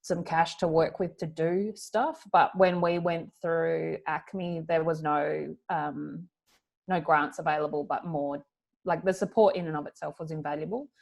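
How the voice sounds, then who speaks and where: A woman founder answering panel questions on a video call in a measured, matter-of-fact way. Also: low voice, steady tone, creaky, darkish tone.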